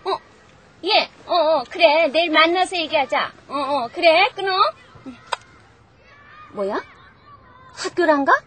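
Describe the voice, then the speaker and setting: Excitable high-voiced middle-aged mother. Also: slow pace, pauses often, slight background noise, heavily acted. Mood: indignant. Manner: theatrical, exclamatory. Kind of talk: television children's drama acting.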